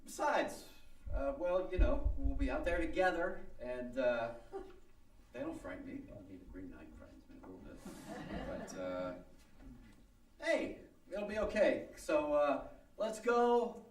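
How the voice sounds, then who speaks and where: Reluctant, unsure everyman champion, radio comedy: lively pitch, stumbling delivery, off-mic stage sound. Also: a little slow. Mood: nervous, halting. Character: reluctant, bumbling male car dealer turned battle champion. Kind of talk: old-time radio comedy acting. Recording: roomy distant live stage, faint music.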